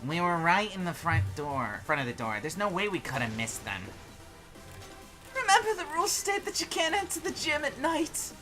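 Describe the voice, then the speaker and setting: Woman playing a wildly animated, insistent student. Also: on the low side, moderate pace, faint music behind, full character voice. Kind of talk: anime game fan dub.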